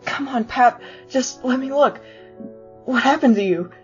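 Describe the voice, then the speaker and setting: A woman's voice: high voice, a little slow. A woman playing a protective, wisecracking teenage skeleton big brother, casual and tender, in a fan comic dub. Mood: worried. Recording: muffled lo-fi audio over music.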